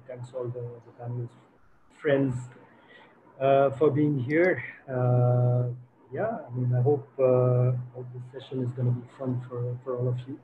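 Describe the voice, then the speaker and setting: This is a man in his forties, raised in Switzerland, speaking at a middle pitch. He talks haltingly, full of fillers and restarts. His voice comes over a thin, phone-like video call line with a faint hiss behind it. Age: 40s. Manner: hesitant, many fillers and restarts. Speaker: guest photographer. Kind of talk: online talk presentation. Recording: tinny phone-like online call audio.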